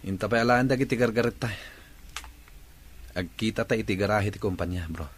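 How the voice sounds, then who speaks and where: A man's voice: fairly low pitch, fairly quick, slight background noise, lightly acted. An actor playing a wistful young male buddy in a radio drama, with a Filipino Ilocano accent. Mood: casual. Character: young man, wistful buddy, unlucky in love.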